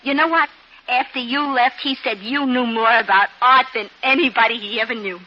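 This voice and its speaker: Gushing high-voiced young sweetheart, played by an actress. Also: female voice, fairly even intonation, normal speed, natural voice. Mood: excited, admiring. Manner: gushing, breathless, earnest. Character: excitable, adoring young woman smitten with culture, sweetheart. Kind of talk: 1950 radio comedy-drama acting. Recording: muffled, noisy 1950 radio recording.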